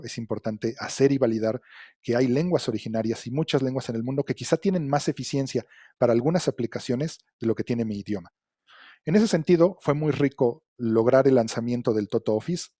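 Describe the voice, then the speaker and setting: A middle-aged man giving an academic lecture, measured and explanatory, often checking in with "¿no?". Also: mid-range voice, dark tone, no room sound, studio quality. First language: Spanish. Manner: measured, explanatory, with frequent fillers and "¿no?" tags.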